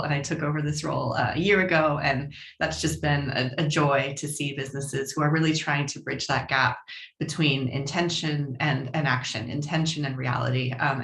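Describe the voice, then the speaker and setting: Low-voiced woman on an echoey call. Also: fairly bright tone. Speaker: senior research fellow. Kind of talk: roundtable introduction.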